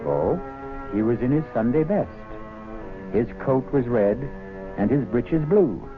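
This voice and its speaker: A man narrating a radio mystery as host, with a theatrical, wry and measured delivery. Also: mid-range voice, lively intonation. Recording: tinny old radio broadcast. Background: music.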